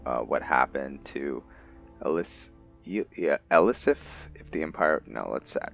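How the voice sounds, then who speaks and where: A man with a deep voice gives casual commentary on a roleplay game. The recording is a little dull, and game music sits faintly behind him. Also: animated, a little slow, vocal fry. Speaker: gaming video host.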